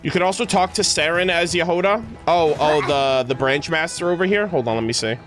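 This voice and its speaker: A man with a fairly high voice streams a game in a casual, exclamatory way. He chats to viewers and reads on-screen text, tripping over words a little, with music clearly playing behind him. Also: fairly quick, a bit bright. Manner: casual, exclamatory reactions, stumbles when reading aloud. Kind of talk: livestream game playthrough, reading dialogue aloud and reacting.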